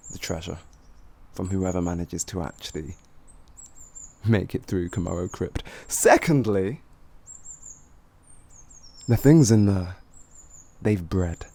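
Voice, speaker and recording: A man's voice: deep voice, vocal fry, dark tone, exaggerated voice. A man playing a cocky, flirty, streetwise bandit in an improvised fantasy roleplay, with teasing, theatrical delivery. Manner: teasing, theatrical, drawn-out phrasing with chuckles.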